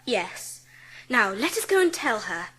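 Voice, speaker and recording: A woman's voice: a little high, sing-song, a little slow, a bit bright, husky, exaggerated voice, poor audio. A woman playing a kind, polite, earnest young schoolgirl in a dramatised children's audiobook. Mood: tender, dreamy. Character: kind, composed, imaginative seven-year-old schoolgirl.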